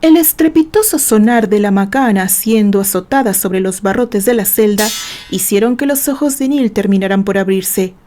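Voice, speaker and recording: A woman's voice: medium pitch, moderate pace, mellow, slight background noise. A woman from Mexico reading a romance serial aloud, voicing the characters herself. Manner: reads with acted character voices. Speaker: narrator.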